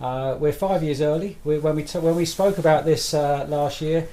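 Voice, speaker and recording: A man's voice: on the high side, normal speed, dark tone. A friendly man hosting and chatting casually, often laughing. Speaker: project host. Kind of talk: casual chat. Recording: noisy background ambience.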